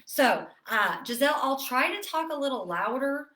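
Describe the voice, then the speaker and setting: A woman fitness instructor leading a seated online exercise class, chatty with fillers, then giving calm breathing cues. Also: high-pitched, normal speed. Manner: chatty and apologetic, with many fillers. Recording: roomy echo, distant from mic.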